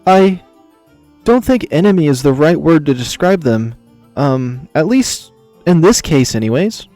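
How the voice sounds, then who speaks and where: A man's voice: a little high, sing-song, a little slow, dark tone, dry recording, soft background music. A man voicing a flustered, lovestruck young beast knight who stammers and hesitates deferentially in a visual novel. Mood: hesitant.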